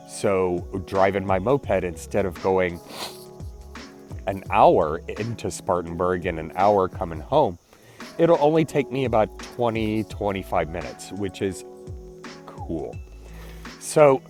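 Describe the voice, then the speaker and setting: A man, congested and clearing his throat, chatting casually in a daily vlog and apologizing often. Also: lowish voice, darkish tone. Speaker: vlogger.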